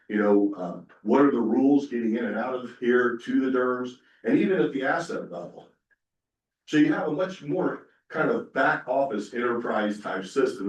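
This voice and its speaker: Folksy man presenting in an echoey room. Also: medium pitch. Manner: casual, folksy, many fillers. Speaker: conference panelist. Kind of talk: conference presentation. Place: conference room. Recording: echoey room, speaker far from mic.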